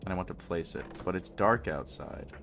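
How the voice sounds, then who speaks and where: A man's voice: low-pitched, moderate pace, creaky, background noise. A man with a stuffy nose chatting casually and rambling jokily with his chat while streaming a game. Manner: rambling, jokey, talks to chat.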